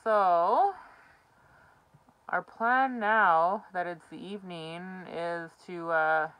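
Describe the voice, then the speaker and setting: A woman's voice: on the low side, drawn-out words, fairly bright tone, clear, resonant tone, dry recording. A young woman chatting casually in an outdoor vlog, recorded close to the camera inside a small ice fishing tent. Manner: chatty, giggly, easygoing. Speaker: outdoor vlogger. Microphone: camera held close.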